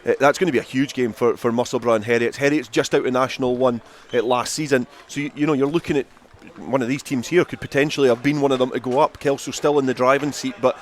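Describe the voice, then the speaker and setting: Fast-talking male rugby pundit. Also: mid-range voice, steady tone. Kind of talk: unscripted analysis.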